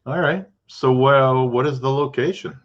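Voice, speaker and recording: A man's voice: medium pitch, lo-fi sound. A man hosting a podcast, asking casual, rambling questions and often stopping to rephrase them mid-sentence. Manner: chatty, restarts his questions, quick acknowledgments. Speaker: podcast host and realtor. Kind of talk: casual interview.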